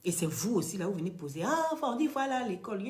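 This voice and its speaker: A woman's voice: medium pitch, very animated, rapid-fire. Ivorian woman vlogger, outspoken and emphatic, street-slang French as a second language. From Côte d'Ivoire. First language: not French. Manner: blunt, emphatic, street slang, rises to loud outbursts. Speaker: online commentator. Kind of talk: outspoken monologue to camera.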